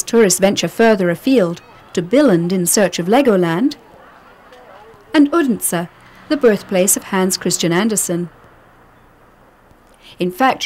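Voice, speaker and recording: A woman reading scripted travel documentary narration in a measured, descriptive way. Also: medium pitch, darkish tone, a little hiss or hum. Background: background music.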